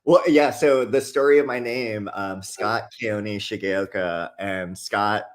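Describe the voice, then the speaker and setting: A young adult man from Hawaii, a guest author talking in an earnest, relaxed way with lots of casual fillers. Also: fairly low pitch, slow. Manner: earnest, relaxed, many fillers like "like" and "you know". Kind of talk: casual conversational interview.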